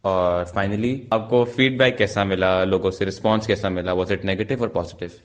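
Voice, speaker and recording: Guy interviewer, lowish voice, asks prepared questions, mixes in english. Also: steady tone, unhurried. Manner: mixes Urdu with English phrases.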